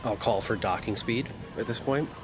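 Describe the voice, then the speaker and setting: A man's voice: lowish voice, normal speed, background noise. A man chatting casually as a player in a tabletop game, with music in the background.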